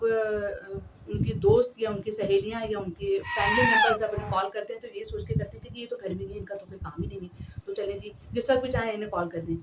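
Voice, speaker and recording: A woman hosting an etiquette talk, speaking earnestly and politely to her listeners. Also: fairly high pitch. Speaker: host. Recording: distant mic with clear background noise.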